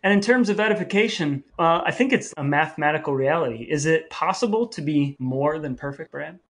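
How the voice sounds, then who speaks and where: A man's voice: on the high side, lively intonation, fairly quick, a bit bright. A man co-hosting a podcast in a chatty style, with a wry, mock-formal manner. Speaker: podcast co-host.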